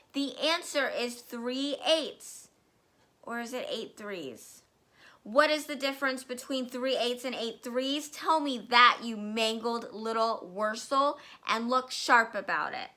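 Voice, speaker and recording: A woman's voice: fairly high pitch, sharp tone, exaggerated voice. A woman voicing a bullying, furious giant headmistress who spits insults at a child, read aloud from a storybook. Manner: bellowing, slow, spits insults.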